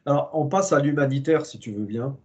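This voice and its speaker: A man interviewing his guest in a mid-range, ordinary voice. He builds his questions slowly, hesitating often and filling the gaps. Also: medium pitch, a little mellow. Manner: hesitant, searching questions with many fillers. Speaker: interviewer host. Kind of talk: conversational interview.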